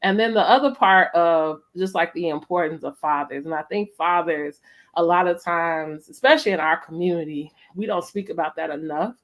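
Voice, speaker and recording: A woman's voice: lowish voice, normal speed. A middle-aged American woman hosting a casual, reflective conversation, speaking emphatically and often checking in with her listener. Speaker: host of a family storytime conversation.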